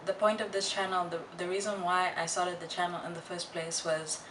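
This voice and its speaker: Young female vlogger, unhurried and reflective, candid casual chat, slightly noisy and distant room recording. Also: on the low side, level tone, fairly bright tone. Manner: candid, halting and reflective with slangy asides. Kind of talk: casual personal chat to viewers. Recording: roomy, distant mic, faint noise.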